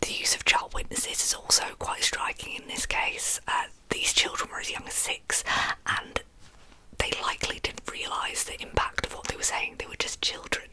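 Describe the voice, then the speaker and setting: A woman telling true crime as ASMR in a breathy whisper, with informal, chatty asides. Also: moderate pace, sharp tone, rough recording.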